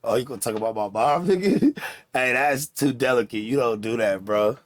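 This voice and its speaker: A man's voice: mid-range voice, expressive, moderate pace, a little hiss or hum. A man giving casual, amused reaction commentary as a video host, slangy and repetitive. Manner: amused, slangy, repeats catchphrases.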